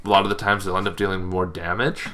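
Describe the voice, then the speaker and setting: A man hosting an informal explainer on tabletop game monsters, talking in a casual, halting, loosely phrased way. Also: low voice, flat delivery, fairly bright tone, slight background noise. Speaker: host of a tabletop roleplaying lore show.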